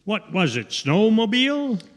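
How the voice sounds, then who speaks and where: In a reverberant hall, a man acts a small-town emergency dispatcher for old-time radio comedy. His voice runs a little high and very animated, with folksy, incredulous questioning and little interest in helping. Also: unhurried. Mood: unhelpful, matter-of-fact. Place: theatre stage. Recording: echoey theatre hall with long reverb.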